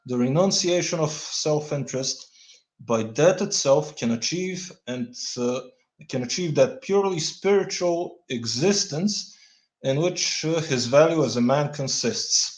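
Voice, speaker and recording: A Bulgarian man reading a dense political text aloud, stumbling over words and adding deadpan asides. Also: on the high side, measured pace, roomy sound. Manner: stumbling, restarts words, deadpan wry comments. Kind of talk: halting read-aloud with dry asides.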